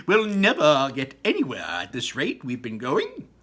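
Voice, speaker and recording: A man voicing an impatient, eccentric male candy maker, exclaiming and rushing through his lines in a storybook reading. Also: medium pitch, huge pitch swings, bright, forceful tone, heavily acted. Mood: excited. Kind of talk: storybook read-aloud.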